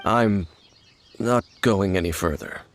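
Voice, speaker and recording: An actor playing an aloof, guarded wandering swordsman in a video game, speaking in a grave, measured, terse manner. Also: fairly low pitch, background noise. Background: game music.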